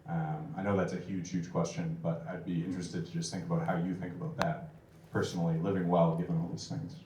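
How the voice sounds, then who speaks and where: A man's voice: low voice, level tone, a little fast, darkish tone, a little hiss or hum. A man in the audience asking a long, tentative, rambling question at a lecture. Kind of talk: Q&A question from the floor. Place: lecture hall. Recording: roomy hall, distant mic.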